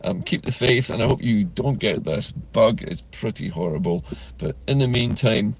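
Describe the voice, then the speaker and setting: Man, low gravelly voice, croaky and husky, talking from a car. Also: expressive, slight background noise, low-quality recording. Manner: measured, earnest, with frequent fillers. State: croaky from a chest infection. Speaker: political vlogger host. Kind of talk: talking-head political commentary. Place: inside a parked car.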